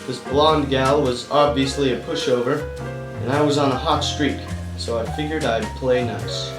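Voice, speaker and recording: A man's voice: medium pitch, fairly even intonation, normal speed, close to an everyday voice. A man playing a cocky, bumbling hardboiled private detective in a noir radio play, wisecracking and slangy. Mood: smug narration. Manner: wisecracking, slangy, self-assured. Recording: roomy, distant voice over music.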